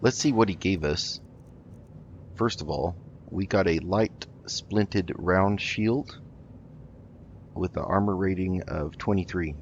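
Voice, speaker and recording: Deep-voiced man casually roleplaying through a game. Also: slow. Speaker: game roleplay video host. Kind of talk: casual in-character gameplay commentary. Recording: dull lo-fi mic with background noise.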